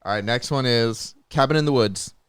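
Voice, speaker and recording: A man chatting casually as he hosts a quiz among friends, animated and joking in his delivery. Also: mid-range voice, normal speed, clean tone, no echo, studio quality. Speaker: podcast co-host running a movie trivia game. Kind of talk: casual chat.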